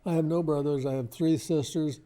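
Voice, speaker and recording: An older man, reminiscing casually as an interview guest in a halting, modest, rambling way. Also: a little high, fairly even intonation, dark tone. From Lebanon, Ohio, United States.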